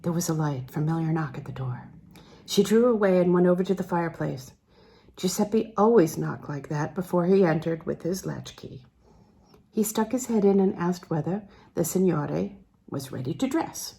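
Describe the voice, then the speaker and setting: A woman reading literary fiction aloud as an audiobook narrator, measured and expressive, with deliberate pauses. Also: on the low side, vocal fry. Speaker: audiobook reader.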